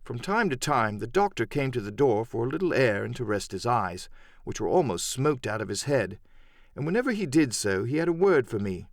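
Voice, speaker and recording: A man reads an adventure novel as a dramatic audiobook. His mid-pitched voice moves with plenty of expression while staying measured and story-like. The recording is dry and close. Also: sing-song, no room sound. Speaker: audiobook narrator.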